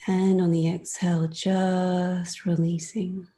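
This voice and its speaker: A woman leading a guided meditation in a soft, sometimes breathy voice, soothing and gentle, leaving long pauses between phrases. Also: lowish voice, level tone.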